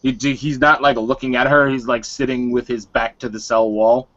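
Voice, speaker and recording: A man's voice: mid-range voice, flat delivery, normal speed, fairly bright tone. A man casually narrating the action of a tabletop roleplay game. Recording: dull, lo-fi online call.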